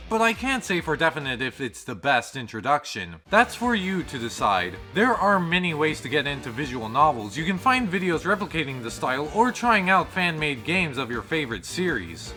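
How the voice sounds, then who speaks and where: Male reviewer, lively swooping pitch, fairly high voice, scripted narration over music. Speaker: video game reviewer. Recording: music behind the voice. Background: background music.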